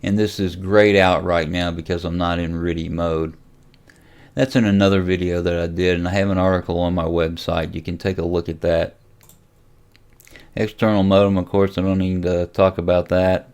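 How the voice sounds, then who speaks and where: A man hosting a casual, unscripted software tutorial, thinking aloud and hesitating as he goes. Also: sits low, little pitch movement, normal speed, smooth. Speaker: tutorial host and amateur radio hobbyist.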